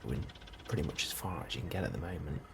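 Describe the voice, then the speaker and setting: A man doing a soft, breathy ASMR role-play as an unhurried, politely understated officer interviewing a newcomer. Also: a bass voice. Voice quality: soft, breathy, often near whisper. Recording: steady ambient noise behind the voice. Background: computer and ambience sounds.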